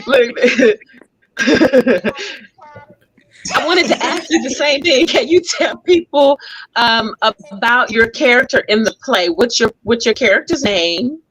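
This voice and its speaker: A woman's voice: on the high side, slow, a bit bright, husky, rough recording. A woman hosting a casual group interview in a playful, teasing, chatty way. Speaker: talk show host.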